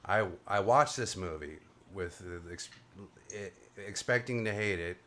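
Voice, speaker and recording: A man's voice: deep voice, unhurried, fairly bright tone. A man hosting a casual panel chat over a video call, joking and rambling. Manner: jokey, rambling, self-mocking. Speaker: host of a panel show.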